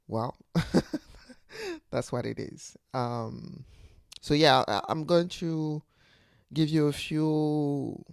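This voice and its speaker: Slow, gravelly woman in her forties. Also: extremely low pitch, dry recording, clean studio sound. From Ivory Coast. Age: 40s. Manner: reflective, searching for words, with soft laughs. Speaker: channel host, teacher. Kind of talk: unscripted personal talk to camera.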